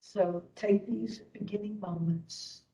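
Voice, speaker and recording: A woman leading a guided meditation as instructor, speaking gently in short phrases with long pauses. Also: mid-range voice, fairly even intonation, gravelly, low-quality recording.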